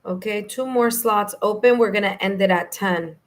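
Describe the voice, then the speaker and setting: A woman with a New York accent and a slightly hoarse, raspy voice, hosting a live tarot stream in a friendly, chatty way. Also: mid-range voice, normal speed. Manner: friendly, chatty, laughs easily. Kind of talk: casual live-stream chat, greeting viewers.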